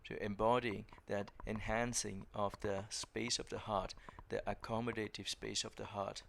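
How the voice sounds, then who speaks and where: A man teaching a guided meditation, speaking in a gentle, measured manner with long pauses between phrases. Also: fairly low pitch, flat delivery, creaky, slight background noise.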